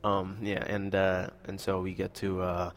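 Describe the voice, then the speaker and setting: A man's voice: low voice, monotone, unhurried, big-room sound, slight background noise. A young man from Indonesia, answering interview questions in a hesitant, casual way with many fillers. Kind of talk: casual conversation.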